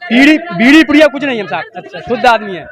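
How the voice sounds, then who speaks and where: A man's voice: very high voice, animated, fast, a crowd in the background. A man, answering respectfully as a party worker and often repeating the start of words. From rural Madhya Pradesh, India. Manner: stammering repetitions, respectful. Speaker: young party worker being interviewed.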